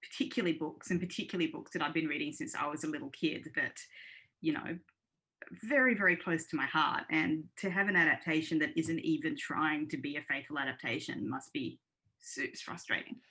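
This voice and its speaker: A woman's voice: low voice, a little fast, sharp tone. A woman talking casually as a panelist on a podcast. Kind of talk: casual chat.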